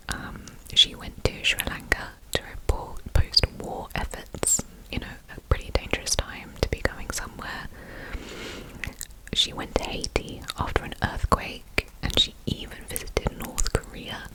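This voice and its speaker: A woman whispering a true crime story for ASMR, slightly nasal and a little congested from a recent illness, chatty with small asides. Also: low-quality recording. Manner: soft unvoiced whisper, chatty with "you know" asides. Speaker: ASMR channel host.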